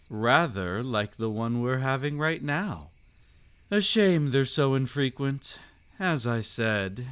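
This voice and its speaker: A man's voice: medium pitch, a bit bright. A man voicing a suave, predatory old vampire count in a scripted roleplay audio, with an aristocratic, silky, teasing and theatrical delivery. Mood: condescending, seductive.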